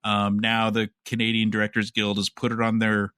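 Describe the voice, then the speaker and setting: Male podcast host, low bright voice, dry close sound, casual speculative news chat. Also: monotone, moderate pace, clear, resonant tone, no echo.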